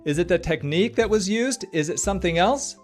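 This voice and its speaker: A man giving a scripted commentary on a social video, brisk and explanatory, posing rhetorical questions. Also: sits high, animated, soft background music. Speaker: cosmetic surgeon commentator.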